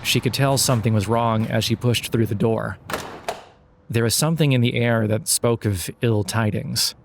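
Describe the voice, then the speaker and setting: A man reads a scripted satirical story as a podcast narrator, measured and deliberate, with wry irony. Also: medium pitch, gravelly, a little hiss or hum. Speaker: narrator of an audio fiction podcast.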